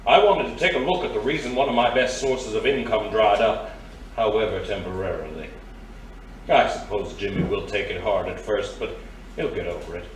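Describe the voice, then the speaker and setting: An actor playing a suave, menacing crime boss in a noir radio drama, courteous, measured and wordy. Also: male voice, on the low side, lightly acted. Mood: calm menace. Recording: roomy, distant, faint background noise.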